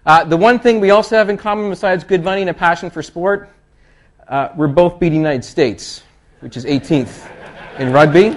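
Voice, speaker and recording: A man with a Canadian accent from the East Coast giving a chatty, joking conference talk full of rambling, self-deprecating asides. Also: high-pitched. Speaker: conference presenter.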